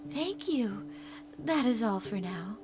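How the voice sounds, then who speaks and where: A woman's voice: a little high, expressive, slow pace, music in the background, pitched above the performer's own voice. A woman voicing a polite, unsettling ghost demon girl in a horror game. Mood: eerie polite.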